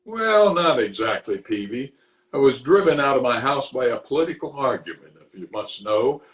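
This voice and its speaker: A man's voice: mid-range voice, animated, normal speed, a bit bright, reverberant, natural voice. A man playing a pompous, easily exasperated middle-aged official in an old-time radio comedy, recorded at home over a video call. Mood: grumpy. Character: blustery, exasperated middle-aged male water commissioner, pompous and opinionated.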